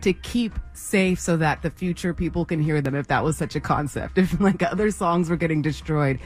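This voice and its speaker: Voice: medium pitch, normal speed. Chatty radio host, faint music behind. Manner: chatty, laughs often, rambling questions. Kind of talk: casual interview. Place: radio studio.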